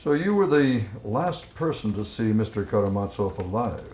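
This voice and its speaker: A police interrogator in a naturalistic crime film. His voice is a little low and he keeps it measured and calm, persistently probing with quiet skepticism. Faint noise is audible behind him.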